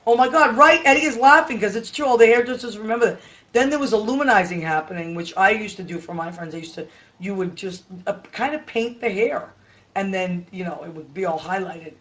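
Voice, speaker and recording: A hoarse woman in her fifties hosting a casual live chat from home, rambling and tired, talking into a webcam. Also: mid-range voice, a little fast, a bit bright, poor audio. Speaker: livestream host. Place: home room with Christmas tree.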